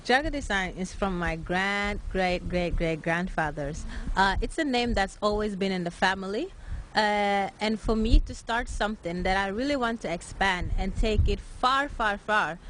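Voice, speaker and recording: A woman's voice: medium pitch, normal speed, fairly bright tone, slight background noise. A nineteen-year-old woman from Norway, a young fashion designer answering interview questions earnestly with many hesitant filler sounds. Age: late teens.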